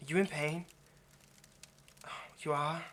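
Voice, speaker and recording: Bright-voiced, stammering lovesick vampire played by a man. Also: fairly high pitch, steady tone, takes it slowly. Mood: anxious apologetic. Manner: hesitant, stammering, rambling, apologetic. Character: nervous, lovesick vampire man, centuries old, apologetic kidnapper. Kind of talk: intimate ASMR roleplay addressed to the listener. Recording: faint background noise.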